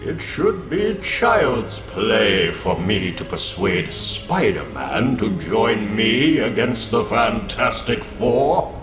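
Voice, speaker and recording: Pompous, slow-talking supervillain. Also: male voice, lowish voice, very animated, exaggerated voice. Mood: gloating, commanding. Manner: pompous, theatrical, deliberate. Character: arrogant scheming male supervillain, grandiose and cold. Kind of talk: comic book dramatic reading. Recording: roomy echo under loud background music.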